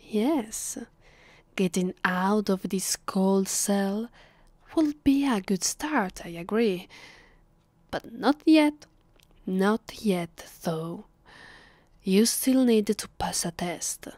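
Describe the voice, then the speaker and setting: A woman with an Italian accent voicing a haughty, possessive vampire mistress in a close, hushed ASMR roleplay, condescending and commanding. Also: mid-range voice, expressive, very slow, pauses often, dry recording. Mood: haughty, promising. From Italy. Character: haughty, possessive female vampire mistress addressing her captive. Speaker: ASMR voice actress. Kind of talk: ASMR roleplay, slow, close, often whispered. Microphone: close microphone, dry.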